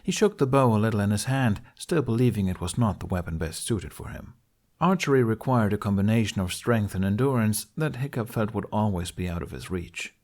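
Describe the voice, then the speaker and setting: A man reads a fiction audiobook as its narrator. His voice is on the low side, and he delivers scripted storytelling prose. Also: a little mellow.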